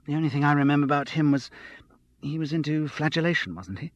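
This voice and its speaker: A man's voice: on the high side, animated, fast talker, no echo, muffled recording, pitched above the performer's own voice, lightly acted. A man voicing an opinionated young woman news producer in an audiobook, speaking emphatically. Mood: curious. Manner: emphatic, argumentative.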